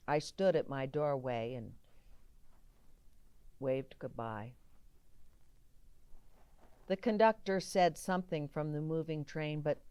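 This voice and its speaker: Slow, low-voiced woman reading a children's storybook. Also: darkish tone, no echo. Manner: deliberate, dramatic pauses, emphasising single words. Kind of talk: expressive children's storybook read-aloud.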